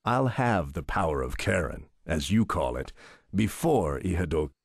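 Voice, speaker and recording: An actor playing a calm, pompous villain who poses as a self-help coach in a game dub, measured and condescending in manner. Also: male voice, low-pitched, creaky, no room sound, clean studio sound, exaggerated voice. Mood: smooth menace. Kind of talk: scripted anime game dub acting. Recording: loud music behind the voice.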